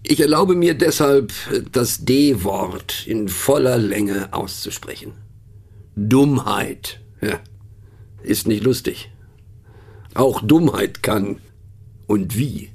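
A man's voice: mid-range voice, lively intonation, slow, long pauses, darkish tone, breathy edge, exaggerated voice. A man playing a pensive, mocking narrator in a satirical radio play monologue, speaking ironically with conversational, questioning asides. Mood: scornful. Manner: ironic, rhetorical, punctuated with little questioning tags. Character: wry, pensive, mocking male narrator musing on words.

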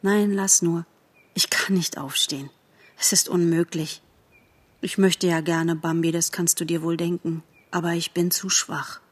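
A woman voicing a weak young male fawn in an audiobook, quiet, earnest and resigned, with grown-up seriousness. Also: fairly low pitch, fairly even intonation, unhurried, pauses often. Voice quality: quiet, faint. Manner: grave, simple, grown-up seriousness. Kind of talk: audiobook character voice.

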